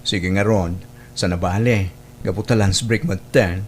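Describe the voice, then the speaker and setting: A man's voice: on the low side, measured pace, a little hiss or hum, lightly acted. A man with a northern Philippine accent playing a sensible, admonishing friend in an audio drama. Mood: puzzled. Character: concerned, level-headed friend giving frank moral advice.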